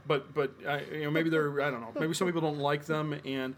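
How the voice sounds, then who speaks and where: A chatty, teasing man cohosts a laid-back podcast. His voice sits a little on the high side. A faint hiss runs under the recording. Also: level tone, brisk. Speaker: podcast co-host. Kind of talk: casual chat.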